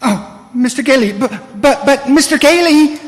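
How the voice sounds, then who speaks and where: An actor playing a fussy, anxious, cowardly store employee with a stammering, obsequious manner in an old-time radio play. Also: male voice, extremely high pitch, normal speed. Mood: anxious, ingratiating. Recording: roomy stage hall with long reverb.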